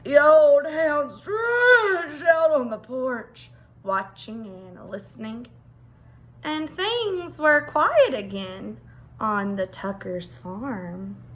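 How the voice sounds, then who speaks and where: A woman reads a picture book aloud for children. The voice is high and bright, the pace unhurried, with expressive, dramatic emphasis. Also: low-quality recording. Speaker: teacher reading aloud. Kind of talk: children's storybook read-aloud.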